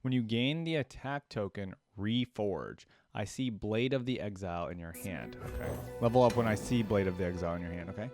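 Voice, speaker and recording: A man with a fairly low voice hosts a casual reaction video. He thinks aloud and tags questions onto his remarks, with music clearly audible behind him. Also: fairly quick, hardly any pauses. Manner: thinking aloud, checks with "right?".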